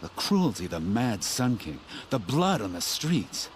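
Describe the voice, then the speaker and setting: An actor playing a distraught middle-aged father in a video game, speaking in an anguished, halting, emphatic way. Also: fairly low pitch, sing-song, slight background noise. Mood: sorrowful, regretful. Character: distraught middle-aged father searching for his missing daughter. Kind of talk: video game character acting.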